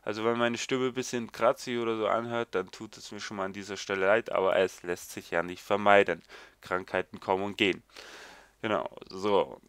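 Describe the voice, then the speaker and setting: A young man with a low, smooth voice hosts a casual gaming announcement while a little stuffed up from a cold. He rambles along with plenty of filler words. The close desktop mic sounds dry, with no room around him. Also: fairly even intonation, a little fast, a bit bright, no room sound. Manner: rambling, many fillers like quasi and sprich. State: slightly congested with a cold. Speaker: gaming site video host. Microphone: desktop mic, voice-over of screen capture.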